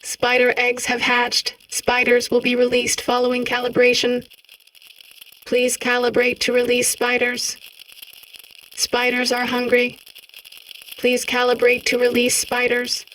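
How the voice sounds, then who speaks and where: Monotone female robot announcer, fairly high, deadpan, polite, slightly distant. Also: slight background noise. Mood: polite, impassive. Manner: deadpan, measured, impassive. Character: calm female automated system announcing menace politely. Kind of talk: scripted automated announcement in a video game.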